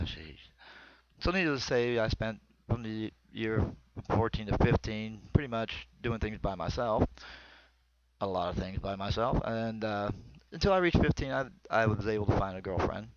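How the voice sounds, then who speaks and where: A man's voice: lowish voice, a little slow, dry recording. Middle-aged American man, dry lo-fi recording. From small town in northwestern Pennsylvania, United States. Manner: colloquial, rambling, crude-humored. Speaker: comedian telling stories. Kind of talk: comic personal storytelling monologue.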